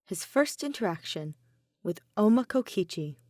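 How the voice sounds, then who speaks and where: A woman's voice: medium pitch, sing-song, normal speed, darkish tone, dry recording, studio quality. A woman reading a scripted piece of fan fiction as its narrator. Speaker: podfic narrator.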